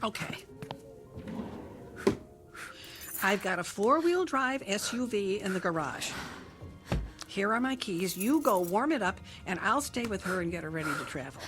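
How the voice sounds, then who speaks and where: A woman's voice: mid-range voice, sing-song, normal speed, over music, natural voice. An actress playing a middle-aged mother who lives alone, curt and easily flustered but kindly underneath, in a naturalistic screen drama. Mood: brisk, firm.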